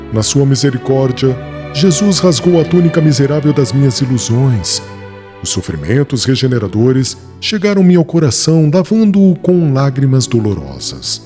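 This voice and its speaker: Guy doing a solemn repentant young man, dark mellow voice that swings up and down a lot, soft music under it. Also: medium pitch, lightly acted. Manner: solemn, grave and formal. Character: remorseful young man, a former persecutor turned penitent. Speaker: voice actor in an audiobook.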